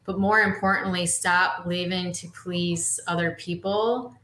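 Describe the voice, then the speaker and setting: A woman's voice: fairly low pitch, steady tone, a little slow, bright, forceful tone. A woman from Michigan, a coach and guest on a podcast, speaking in an earnest, motivational way. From Michigan, USA. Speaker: guest, social media and women's coach. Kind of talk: podcast interview. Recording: roomy echo.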